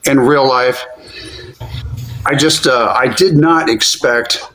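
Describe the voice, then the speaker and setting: A man's voice: medium pitch, takes it slowly, fairly bright tone, poor audio. A man in his fifties from Philadelphia, chatting candidly and directly in a casual live stream. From Philadelphia, USA. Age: 50s.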